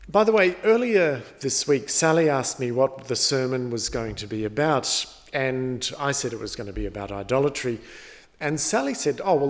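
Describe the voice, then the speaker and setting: A middle-aged man, a pastor giving a sermon in a conversational, storytelling way. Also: medium pitch. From New Zealand.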